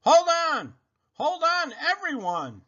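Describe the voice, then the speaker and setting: A man voicing an eager, earnest, sweet lady in an excitable storybook manner. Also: very high voice, slow pace, muffled recording, voice pushed up, heavily acted. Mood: urgent. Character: eager, cheerful sweet lady, younger sister.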